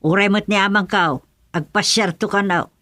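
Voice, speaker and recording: A woman's voice: medium pitch, steady tone, moderate pace, sharp tone, no echo, clean studio sound, close to an everyday voice. A woman with an Ilocano accent playing a scolding, down-to-earth village woman in a radio comedy drama. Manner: chiding, exclamatory.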